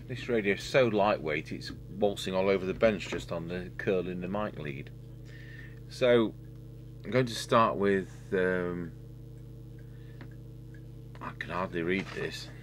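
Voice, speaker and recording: A middle-aged man giving a casual, halting repair demonstration, thinking aloud as a technician at work. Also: sits low. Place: indoor workbench. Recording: steady hum and background noise.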